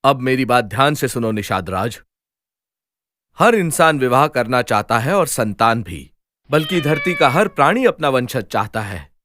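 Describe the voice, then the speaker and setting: An actor playing a proud, devoted young crown prince in an animated myth, speaking in solemn declamations. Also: male voice, mid-range voice, unhurried. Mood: resolute, proud. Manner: declamatory, solemn vow-making. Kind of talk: dramatic animated mythological dialogue.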